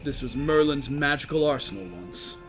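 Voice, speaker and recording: A man playing a cryptic villain with grand plans in an audio drama, measured and portentous. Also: a little high, soft background music, close to an everyday voice. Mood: brooding.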